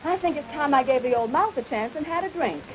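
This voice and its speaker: A brash, fun-loving burlesque girl from a 1930s film melodrama. Her voice is high and swings eagerly up and down, slangy and pushy. The soundtrack is scratchy and noisy. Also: lively intonation, fast talker, dull audio. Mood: wisecracking. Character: brash, fun-loving burlesque stripper, worldly young woman.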